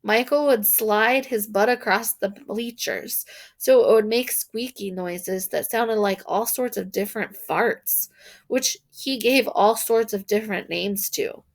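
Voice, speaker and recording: A woman reading a children's novel aloud in an expressive, animated storytelling manner, with emphasis on key words. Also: medium pitch, a little slow. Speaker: storybook reader.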